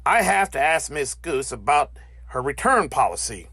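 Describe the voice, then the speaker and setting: A grumpy bear from a children's storybook as a man plays him, stern and curt. The voice is husky, with big swings in pitch and a close, dry sound. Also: sing-song, normal speed, bright, forceful tone, no echo, exaggerated voice. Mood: fussy.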